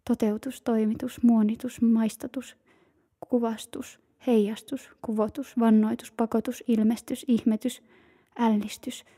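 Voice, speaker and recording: A woman whispering a slow, rhythmic chant of single words in a breathy, hushed voice. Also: a little high, little pitch movement. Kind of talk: whispered incantation-like word chanting.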